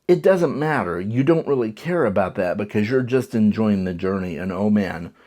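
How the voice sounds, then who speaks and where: Enthusiastic man with a lowish voice. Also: animated, normal speed, warm tone. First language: English. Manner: enthusiastic, conversational, with frequent restarts. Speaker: book reviewer. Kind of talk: casual spoken book review.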